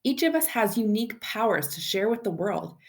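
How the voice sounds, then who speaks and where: A woman's voice: medium pitch, a little fast. A woman reading a children's picture book aloud expressively, as a storyteller speaking to young listeners. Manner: animated, addresses child listeners with questions.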